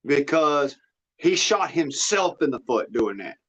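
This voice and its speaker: A man's voice: fairly high pitch, big pitch swings, unhurried, fairly bright tone, slight background noise. An American man talking in a rambling, casual monologue, blunt, folksy and freely swearing. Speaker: livestream host. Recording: dull, lo-fi audio.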